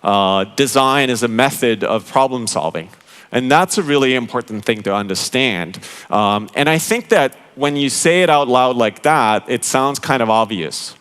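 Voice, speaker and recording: A man from Finland, giving a conference talk in an explanatory, halting way, with many fillers and direct appeals to the audience. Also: medium pitch, expressive, fairly bright tone, smooth. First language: Finnish. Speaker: conference speaker, interaction design director.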